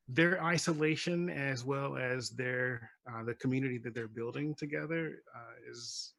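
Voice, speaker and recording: A man on a panel explaining his work casually, in a halting, measured way with many pauses. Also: mid-range voice, a bit bright, no room sound. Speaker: playwright on a panel.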